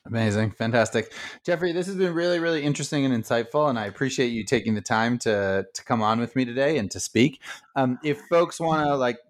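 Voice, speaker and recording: A male podcast host with a mid-pitched voice closes an interview. He speaks casually and appreciatively, with fillers. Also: brisk. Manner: appreciative, loose and conversational. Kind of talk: wrapping up an interview.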